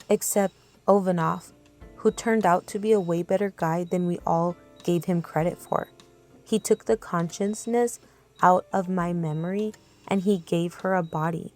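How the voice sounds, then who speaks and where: A woman's voice: on the low side, darkish tone. A woman playing a resolute, guarded female fighter in a radio drama.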